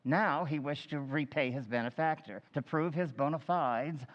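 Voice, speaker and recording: An actor playing a formal, deliberate town official addressing a public meeting in a measured, declamatory old-time radio style. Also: male voice, on the high side, lightly acted. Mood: formal, suspenseful. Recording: echoey hall, slightly dull sound.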